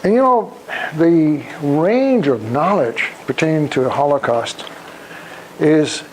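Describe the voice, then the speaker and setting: An elderly man from Poland giving a public talk, speaking deliberately in halting phrases and putting questions to his listeners. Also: fairly high pitch, animated. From Krakow, Poland. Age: over 75. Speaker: guest speaker, Holocaust survivor. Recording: noisy live room recording.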